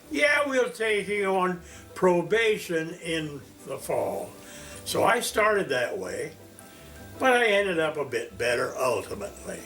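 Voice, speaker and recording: A man of one hundred from Indiana, recalling his life as a teacher in a slow, storytelling interview. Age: 100s. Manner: slow, storytelling, animated pitch swings. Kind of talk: reminiscing interview.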